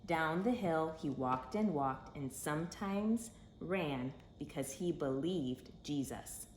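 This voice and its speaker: Animated woman reading a children's storybook. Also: low-pitched, slow. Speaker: read-aloud storyteller for children. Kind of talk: expressive children's storybook read-aloud. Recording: echoey room with faint background noise.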